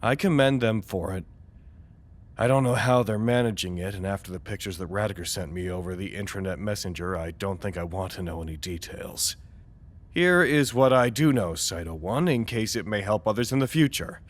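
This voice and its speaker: An actor playing an anxious, weary site director in an audio drama, dictating reports in a wordy, formal, dryly exasperated manner. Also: male voice, fairly low pitch. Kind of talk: audio drama acting, dictated log monologue.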